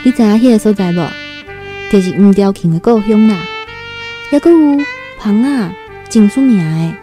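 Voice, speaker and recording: Radio drama role for a woman: humble young rural girl, Taiwanese accent, plain-spoken and earnest, warm relaxed timbre. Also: mid-range voice, normal speed, soft background music, close to an everyday voice. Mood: chatty, wistful. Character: timid nineteen-year-old country girl new in Taipei. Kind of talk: Taiwanese radio drama, first-person storytelling and dialogue.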